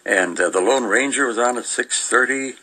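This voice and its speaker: An older man co-hosting a radio show, reading through old listings and reminiscing in a halting, meandering, casual way with chuckles. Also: medium pitch, pushed and bright, slightly rough, poor audio.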